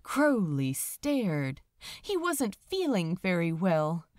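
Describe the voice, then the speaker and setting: A woman narrating fan fiction as a dramatic audiobook, with deliberate phrasing. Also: on the low side, very animated, darkish tone, no echo, clean studio sound.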